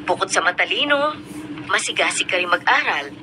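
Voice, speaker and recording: Actress as a proud, kind teacher-advisor, radio drama, noisy broadcast. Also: female voice, lowish voice, animated, moderate pace, natural voice. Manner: warm and encouraging. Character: warm proud female teacher and class advisor, middle-aged.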